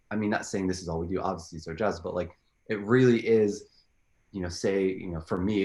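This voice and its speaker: A man's voice: lowish voice, a little mellow, reverberant. A man, a filmmaker, answering interview questions casually, rambling with many restarts and fillers. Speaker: filmmaker guest.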